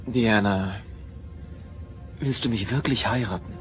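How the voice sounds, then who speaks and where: A man's voice: lowish voice, measured pace, lightly acted. An actor playing an earnest, eager young male doctor and bridegroom in a radio drama. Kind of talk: German radio play acting. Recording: loud music behind radio drama.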